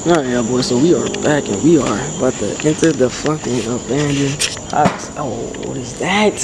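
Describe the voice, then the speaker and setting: A young man vlogging with a handheld camera as he explores a dark abandoned building at night, talking in loose slang and dropping to whispers. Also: medium pitch, normal speed. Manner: slangy, excitable, often hushed to a whisper. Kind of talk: casual handheld vlog. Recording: noisy camera audio.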